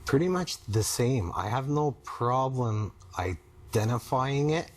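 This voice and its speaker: A man speaking as a client in counseling, halting and searching for his words as he talks personally. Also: medium pitch, lively intonation, slight background noise. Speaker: therapy client, husband.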